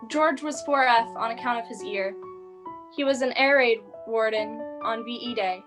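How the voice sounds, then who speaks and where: Knowing heavenly narrator played by a young woman, measured, higher female voice, radio play with clear music behind. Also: natural voice. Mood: matter-of-fact, solemn. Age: young adult. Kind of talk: storytelling in a 1940s-style radio drama.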